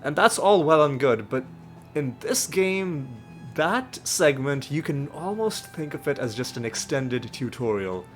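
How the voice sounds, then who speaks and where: A man's voice: a little high, lively intonation, unhurried. Man with a slightly nasal voice. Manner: rambling, self-correcting explainer. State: recovering from a cold. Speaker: let's play host. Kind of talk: casual gameplay commentary. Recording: faint background music.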